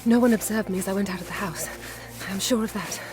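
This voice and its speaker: An actress with an English accent playing a resolute, protective Victorian gentlewoman in a radio drama, speaking earnestly and formally. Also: medium pitch, quick pace, music in the background, close to an everyday voice, low-quality recording. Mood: anxious, searching. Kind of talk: radio drama acting with first-person narration.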